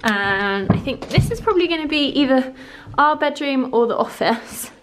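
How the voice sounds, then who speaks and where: A woman's voice: high-pitched, normal speed, a little hiss or hum. Giggly young woman touring an empty house. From England. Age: young adult. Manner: giggly, excited, chatty. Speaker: vlogger and mother. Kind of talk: casual home tour vlog. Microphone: handheld camera at arm's length.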